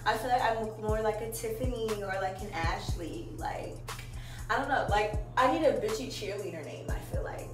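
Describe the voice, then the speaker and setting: A woman's voice: medium pitch, moderate pace, recorded from a distance. A young woman from Maryland chatting candidly while curling her hair for a vlog, laughing often and swearing casually. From Maryland, USA. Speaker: vlogger. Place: at home. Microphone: phone camera. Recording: roomy, phone held away, music behind.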